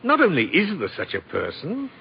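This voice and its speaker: An actor playing a kindly, twinkly old gentleman who believes he is Santa, courteous and playful, in 1940s radio style. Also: sits high, wildly swooping pitch, normal speed, creaky, full character voice. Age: older. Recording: noisy, muffled 1940s radio broadcast.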